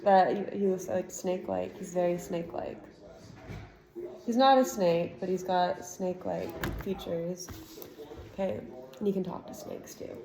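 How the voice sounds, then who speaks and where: A woman reading a chapter book aloud to a child, with expressive narration and relaxed chatty asides. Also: on the low side, unhurried, vocal fry, crowd noise, low-quality recording. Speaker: storybook reader.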